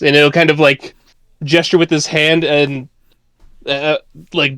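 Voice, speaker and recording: A man's voice: on the high side, a bit bright, no room sound. A man casually narrating his character's actions as a player in a tabletop game. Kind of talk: casual improvised roleplay narration.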